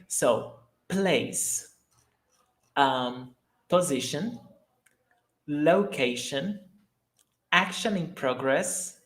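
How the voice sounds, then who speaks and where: A man teaching a language lesson, speaking slowly and deliberately and repeating each example sentence. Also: on the high side, a bit bright, echoey room. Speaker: Portuguese teacher. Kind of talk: language lesson, mixing English and Portuguese.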